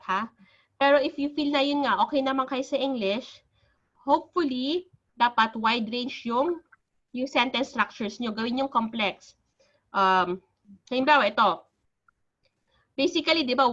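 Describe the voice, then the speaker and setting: A woman's voice: fairly high pitch, a bit bright. A woman with a Filipino accent teaching an online speaking class over a video call, switching between Tagalog and English and reading out sample answers. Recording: dull lo-fi video call audio.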